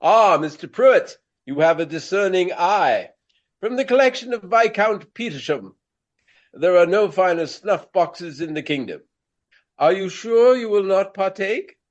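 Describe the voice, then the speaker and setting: A man playing a pompous, vain Regency gentleman actor, speaking in florid, courtly phrases in a radio play. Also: high-pitched, animated, unhurried, lo-fi sound. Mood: self-important, genial. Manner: florid, formal, grandiloquent.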